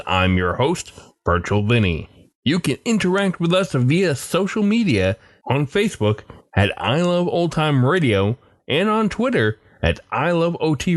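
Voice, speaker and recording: Male podcast host, mid-pitched, animated scripted read, dry close recording. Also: no echo.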